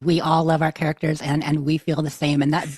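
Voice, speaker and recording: A woman's voice: low voice, level tone, fairly quick, a little mellow, husky, no echo, studio quality. A woman talking casually and effusively in a panel conversation, encouraging others as an interviewer. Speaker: podcast cast interviewer.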